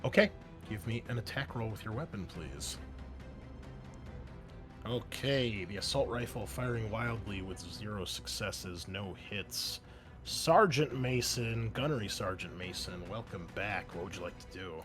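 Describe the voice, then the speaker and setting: A man's voice: on the low side, a little slow, over music. A man narrating a tabletop roleplaying game as game master, in a casual, conversational way. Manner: casual, conversational, mild swearing.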